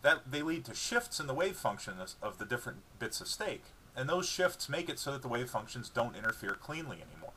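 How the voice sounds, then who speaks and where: A man's voice: medium pitch, big pitch swings, brisk, few pauses, bright, forceful tone, a little hiss or hum, poor audio. A man reading his own book dramatically, moving between narration and dialogue in a wry, explanatory way.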